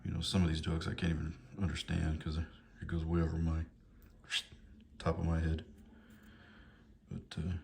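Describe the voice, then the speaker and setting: A man's voice: very deep voice, completely flat delivery, moderate pace, creaky, pauses often, darkish tone. A man in his fifties chatting casually to viewers in a rambling, hesitant, amused and apologetic way. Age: 50s. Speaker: vlogger showing books from a collection. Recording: noticeable background noise.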